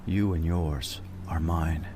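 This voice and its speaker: A man voicing a sinister ghostly sea spirit, slow and ominous, in an audio story. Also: very deep voice, fairly even intonation, noisy background. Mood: menacing.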